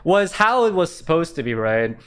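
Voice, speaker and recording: A young man streaming casual chat in a quiet voice that doesn't project, rambling, repeating himself and laughing often. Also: on the high side, sing-song, normal speed, smooth. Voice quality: quiet voice that does not project.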